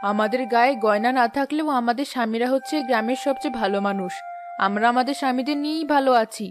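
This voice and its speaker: An actress playing a sensible, contented village wife in a cartoon, earnest and admonishing in manner. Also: female voice, a little high, fast, over music, close to an everyday voice. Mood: calm, proud. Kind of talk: cartoon dialogue.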